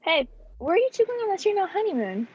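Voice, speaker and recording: A woman's voice: very high-pitched, very animated, fast talker, darkish tone. A woman playing a chatty, nosy small-town male cab driver in an old-time radio play, on a dull home recording. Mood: curious, teasing. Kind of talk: 1940s radio play acting.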